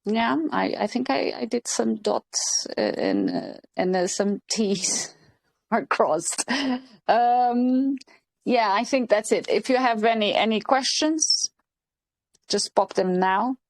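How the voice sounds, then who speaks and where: A woman from Portugal leads a casual group call over a video link. She talks slowly and haltingly, with lots of fillers and restarts. Her voice is mid-pitched, and the sound is dry and close. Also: expressive, vocal fry, no room sound. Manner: hesitant, many fillers and restarts. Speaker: channel host leading a group call.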